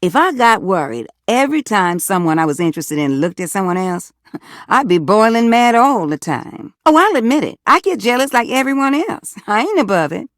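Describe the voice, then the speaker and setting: Woman voicing a sassy, folksy, lively American game character. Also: mid-range voice, no room sound, clean studio sound, lightly acted. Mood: folksy, candid. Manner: folksy, sassy, drawling colloquial talk, coaxing endearments. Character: sassy, warm-hearted, folksy living water fixture, a grown woman. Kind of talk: video game character dialogue.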